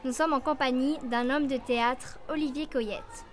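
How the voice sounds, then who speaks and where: Young female student interviewer, formal and polite, slightly high voice. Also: steady tone, normal speed, slight background noise. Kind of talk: reading prepared interview questions.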